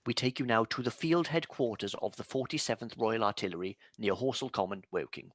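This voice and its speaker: A man's voice: lowish voice, brisk, no room sound. A man playing a composed radio news announcer who reads bulletins formally, in a 1930s-style radio drama. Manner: formal, even bulletin delivery.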